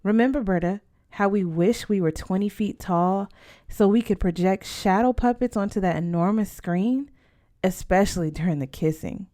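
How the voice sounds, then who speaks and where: A woman voicing a curious, eager young girl with a teasing, expressive manner in an audiobook. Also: medium pitch, moderate pace, close to an everyday voice. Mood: coaxing. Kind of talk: acted audiobook dialogue.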